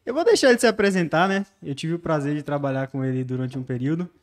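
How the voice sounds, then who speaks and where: A man co-hosts a casual podcast. He talks extremely fast in a slightly high voice. He drops short, offhand remarks. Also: lively intonation. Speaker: podcast co-host.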